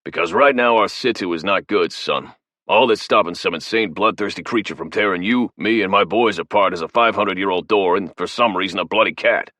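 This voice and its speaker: A man voicing a tough male soldier boss, gruff, profane and commanding. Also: low voice, a little fast, pushed and bright, no room sound, clean studio sound.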